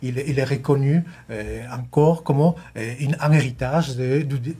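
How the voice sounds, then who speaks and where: A man's voice: mid-range voice, unhurried, warm tone, husky. A man speaking as a guest on a studio panel, hesitant, with many restarts.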